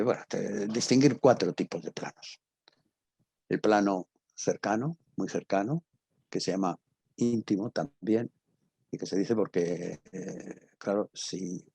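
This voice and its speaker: A man's voice: lowish voice, gravelly, warm tone, slightly rough, no room sound. A man giving an informal explanatory lesson as a teacher, in a didactic manner, rephrasing as he goes with lists and examples. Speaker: drama teacher and academic.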